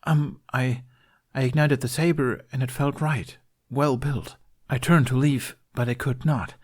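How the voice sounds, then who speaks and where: A man's voice: medium pitch, fairly even intonation, unhurried, voice pushed up, lightly acted. A man voicing an earnest, nervous young male apprentice recounting a vision.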